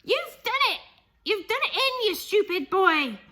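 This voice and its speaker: A woman voicing a bossy old grandmother who shouts and scolds in a high, shrill voice. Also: sing-song, fairly quick, exaggerated voice. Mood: scolding alarm.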